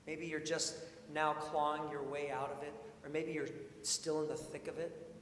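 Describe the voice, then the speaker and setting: A man preaching a sermon in an informal, expressive way, with storytelling and questions repeated for emphasis. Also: on the high side, moderate pace. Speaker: preacher, priest. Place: large indoor church sanctuary. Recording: echoey church hall, long reverb, faint noise.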